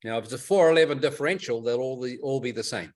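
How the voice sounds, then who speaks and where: A man's voice: medium pitch, fast, a little mellow. Methodical man explaining technical details. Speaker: club technical expert advising a caller. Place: home, on a group conference call. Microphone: telephone or conference call line.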